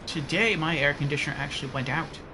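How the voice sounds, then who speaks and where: A man's voice: a little high, fast talker, noisy background. A man casually commenting on his gameplay from his attic room and chatting with viewers. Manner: relaxed, chatty, reads out chat messages. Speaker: streamer playing a game.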